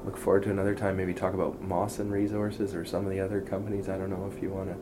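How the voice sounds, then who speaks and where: A man hosting a casual interview in an agreeable, halting way, often trailing off mid-thought. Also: low-pitched, flat delivery, noisy background. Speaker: interview host. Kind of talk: casual chat.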